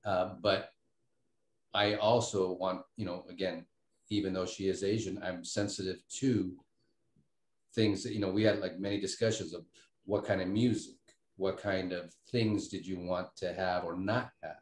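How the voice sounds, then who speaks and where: A middle-aged or older man with a deep voice reflects on theatre work as a director. His delivery is measured and halting, with many pauses between phrases. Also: fairly even intonation, a little mellow, roomy sound. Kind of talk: reflective post-show discussion.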